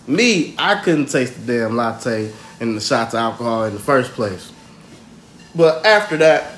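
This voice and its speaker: A young American man co-hosting a podcast, telling stories casually in a slangy, animated way with some swearing. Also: mid-range voice, slight background noise. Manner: slangy, animated, profane storyteller. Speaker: podcast co-host. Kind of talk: casual storytelling chat. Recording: roomy echo, faint music underneath.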